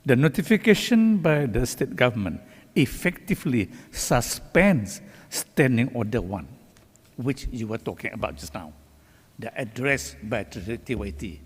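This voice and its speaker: A Malaysian-accented man presides over a legislative sitting. His voice is husky, and he sets out procedure firmly and formally. The sound rings with the long reverb of a large chamber. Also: medium pitch, very animated, unhurried. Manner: firm, official. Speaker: assembly speaker presiding. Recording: echoey hall with long reverb.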